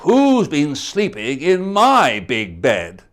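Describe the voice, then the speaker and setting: A man voicing a big, stern father bear in a storybook reading, slow and deep. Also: wildly swooping pitch, fairly bright tone, full character voice.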